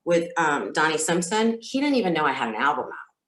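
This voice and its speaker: A woman who is a singer telling stories as an interview guest, speaking casually and candidly in a halting, reflective way. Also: low-pitched, big pitch swings, fairly bright tone. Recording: roomy, echoey sound.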